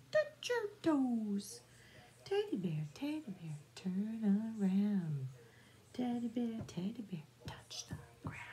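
A middle-aged woman reading bedtime nursery rhymes aloud to young children in a soft, singsong, drawn-out way. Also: on the high side. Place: small room at home, indoors. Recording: noticeable background room noise.

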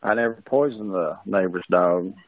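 A man's voice: low voice, moderate pace, no echo. A man taking part in a conference call by phone, talking casually. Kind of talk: casual conversation. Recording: narrow tinny phone line.

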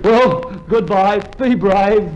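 A man's voice: high-pitched, slow pace, over a noisy crowd. An actor playing a gloomy old hypochondriac delivery man, complaining dolefully with an Australian accent in a 1950s radio comedy. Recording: muffled, faintly noisy 1950s radio recording.

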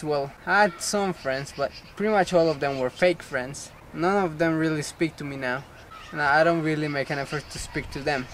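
A young man in a casual outdoor vlog, rambling and joking into a camera mic. His voice sits a little high. Faint outdoor ambience hums behind him. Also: a little hiss or hum. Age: young adult. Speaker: vlogger. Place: outdoor playground. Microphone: camera mic at arm's length.

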